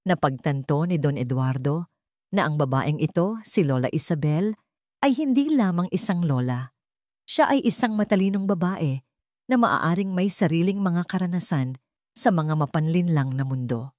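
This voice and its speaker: Female narrator for dramatic audio fiction; low-pitched, soft dark tone, very dry close sound. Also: animated, normal speed, no room sound.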